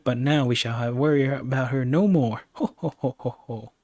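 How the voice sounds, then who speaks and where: A man's voice: mid-range voice, fairly even intonation, normal speed, darkish tone, dry recording, natural voice. A man voicing a pompous, theatrical goblin villain with a French accent in a fantasy story. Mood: triumphant. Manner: pompous, dramatic.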